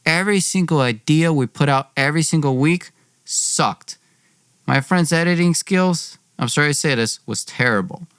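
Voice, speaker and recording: A man's voice: a little high, normal speed, fairly bright tone, smooth, no echo, clean studio sound. A young man hosting a podcast, telling a personal story dramatically in an informal, wry way, acting out dialogue. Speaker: podcast host.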